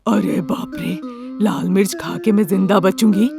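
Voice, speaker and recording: A woman's voice: mid-range voice, fairly even intonation, a little slow, creaky, over music. A woman voicing a stern older mother-in-law who talks bluntly and suspiciously in a story. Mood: suspicious, grudging. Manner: scolding, blunt.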